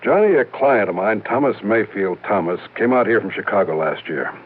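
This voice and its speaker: An American actor playing a worried, suspicious insurance office manager in a 1950s radio drama, speaking in an earnest, confiding way. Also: male voice, on the low side, expressive, moderate pace, phone-quality sound. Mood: concerned, businesslike. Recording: thin band-limited old radio broadcast.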